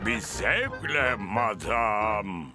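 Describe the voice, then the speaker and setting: A man's voice: lowish voice, lively intonation, drawn-out words, over loud music. A man voicing a hearty, obliging animal neighbour in a children's cartoon.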